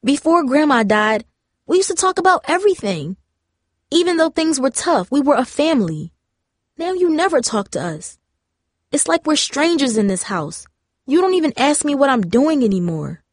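A woman's voice: extremely high pitch, animated, a little fast, hardly any pauses, warm tone, no echo, clean studio sound, pitched above the performer's own voice. A woman playing a vulnerable, frustrated teenage girl in a storybook, emotional and pleading. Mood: frustrated, hurt.

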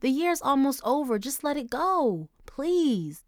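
Woman playing a fourteen-year-old girl, higher voice, defensive, pleading and blurting, dry sound. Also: very animated, moderate pace, no echo. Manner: pleading, then angry and blurting.

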